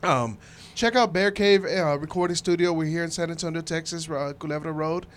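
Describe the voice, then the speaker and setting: A man talking casually on a podcast with a slightly high voice. He laughs easily and teases his co-host with crude jokes. A faint background noise sits under his voice. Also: fairly quick. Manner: laughs often, teasing and crude. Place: home recording studio.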